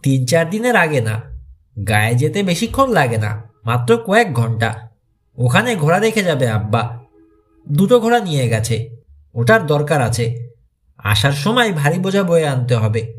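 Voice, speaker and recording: A man's voice: on the high side, big pitch swings, normal speed, long pauses. A man voicing a friendly teenage boy in an audiobook, earnest and conversational. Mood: explaining.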